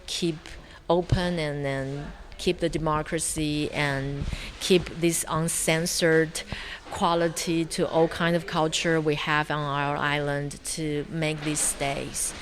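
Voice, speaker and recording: Low-pitched woman with a Taiwanese accent. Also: slight background noise. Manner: halting, searching for words. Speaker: interview guest, film director. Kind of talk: conversational interview answers.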